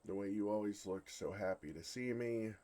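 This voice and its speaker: A man playing a bashful, lovestruck male dragon in human form for an ASMR fantasy roleplay, speaking in a halting, earnest way. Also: lowish voice, warm tone, natural voice. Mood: tender, wistful. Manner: flustered, halting, earnest delivery.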